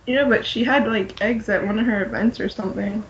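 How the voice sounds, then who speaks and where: A woman's voice: mid-range voice, steady tone, fairly quick. A woman chatting casually as a panelist on a fan podcast, heard over a muffled lo-fi link with noise.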